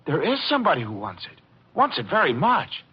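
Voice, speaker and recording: An actor playing a deranged art dealer's clerk, a polite and obsessive killer, in 1940s radio suspense style. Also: male voice, a little high, huge pitch swings, fairly quick, husky, full character voice. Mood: cold menace. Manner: polite menace, theatrical. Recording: muffled, noisy old radio recording.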